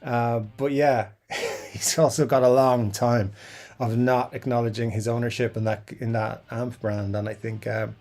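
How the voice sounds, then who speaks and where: A middle-aged man hosts a casual live stream, reading comments aloud and musing at length. His voice sits at an ordinary pitch, and his talk wanders with fillers, restarts and asides. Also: flat delivery, unhurried, a little mellow. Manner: rambling, chatty, frequent fillers and restarts. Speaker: YouTube guitar channel host.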